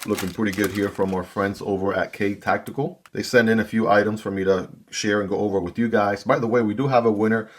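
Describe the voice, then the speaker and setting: A man's voice: on the low side, fairly even intonation, fast talker, few pauses, background noise. A man hosting his own gear channel, chatting casually to viewers as he unboxes and reviews a knife. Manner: chatty, talks straight to viewers.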